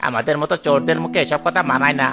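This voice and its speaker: A man's voice: on the high side, racing, over music, lightly acted. A man voicing a bold, scheming thief's sidekick in a cartoon, conspiratorial and urging. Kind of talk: cartoon dialogue.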